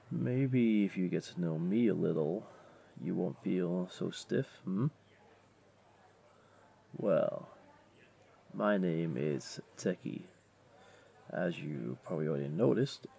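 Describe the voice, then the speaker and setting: A man playing a flirty, comforting jaguar beastling in an intimate audio roleplay, gently coaxing the listener along. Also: sits low, gravelly, heavily acted. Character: flirty, comforting male jaguar beastling, patient restaurant owner.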